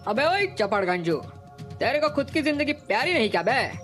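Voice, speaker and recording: A woman's voice: high-pitched, very animated, moderate pace, a bit bright, faint music behind, voice pushed down. A woman voicing a menacing male henchman who taunts and threatens in slangy talk, in a story. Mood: mocking threat. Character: menacing male street thug, mocking henchman.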